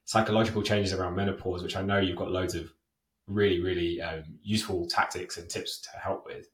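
A man's voice: low-pitched, flat delivery, fairly quick. A man hosting a podcast, reading a scripted intro and then asking questions in a loose, chatty way. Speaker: podcast host. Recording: roomy echo.

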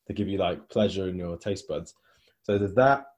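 Chill coach guy on a podcast, mellow low voice, says like a lot. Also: normal speed. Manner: conversational, many fillers like "like" and "you know". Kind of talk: casual podcast discussion.

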